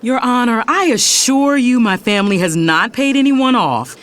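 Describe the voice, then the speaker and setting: An actress playing a fiery, exasperated lawyer and big sister, speaking emphatically in a dramatic audio story. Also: female voice, a little high, lively intonation, normal speed, fairly bright tone. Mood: composed, insistent. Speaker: audiobook narrator. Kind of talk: dramatic first-person story narration.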